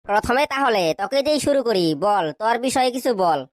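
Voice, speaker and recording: Woman acting a bossy, scolding cartoon schoolteacher, high voice. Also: expressive, dry recording, studio quality, close to an everyday voice. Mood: brisk, bossy. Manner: scolding, hectoring, rapid questioning. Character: bad-tempered bullying schoolteacher, scolding and beating pupils. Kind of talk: comic cartoon dub.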